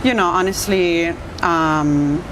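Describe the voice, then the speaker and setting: A woman's voice: lowish voice, takes it slowly, noisy background. A woman from Italy with an Italian accent, chatting as a bartender guest, hesitant with many fillers and restarts. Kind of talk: casual interview chat.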